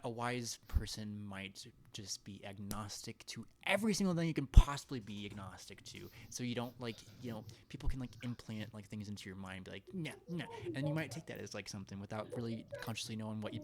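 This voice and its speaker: A man hosting a casual live chat, hesitant and rambling as he thinks out loud. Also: fairly low pitch, expressive, a little fast, hardly any pauses, a loud crowd. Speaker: live stream host.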